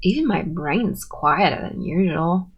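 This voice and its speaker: A woman's voice: lowish voice, animated, measured pace, husky, lo-fi sound, full character voice. A woman playing a tsundere friend in an ASMR roleplay, brusque and defensive but secretly sweet. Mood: soft, wistful. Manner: brusque, defensive, softening into shy warmth. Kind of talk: ASMR roleplay, scripted monologue to listener.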